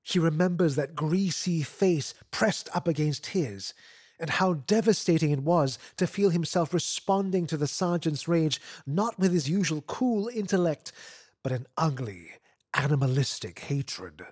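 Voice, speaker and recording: A man reading literary fiction aloud as an expressive narrator. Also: a little high, measured pace, no echo, studio quality. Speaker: story narrator.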